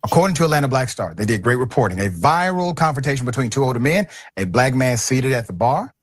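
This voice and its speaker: Husky male commentator reading news. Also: medium pitch, animated, dry recording, studio quality. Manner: measured, reads with commentary. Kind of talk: reading a news report aloud.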